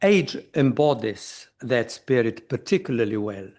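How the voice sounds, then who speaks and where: Older man, slow and deliberate formal speaker. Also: lively intonation, a little mellow. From Slovenia. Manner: measured, deliberate, trailing low at phrase ends. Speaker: conference speaker. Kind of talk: formal prepared speech.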